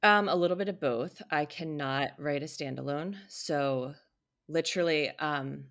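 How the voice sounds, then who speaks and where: Low-voiced woman telling stories. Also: measured pace, a bit bright. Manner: storytelling, acts out quotes. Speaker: podcast co-host and author. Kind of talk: casual podcast chat.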